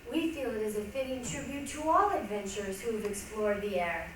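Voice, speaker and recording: A woman giving a theatrical, deliberate dramatic narration as a readers' theatre performer. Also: mid-range voice. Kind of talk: dramatic recited stage narration. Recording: very echoey hall, distant mic, faint noise.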